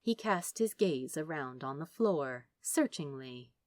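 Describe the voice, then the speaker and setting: A woman narrating a piece of fiction from a script in an expressive storytelling style. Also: low voice, measured pace, dry recording, studio quality. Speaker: podfic narrator.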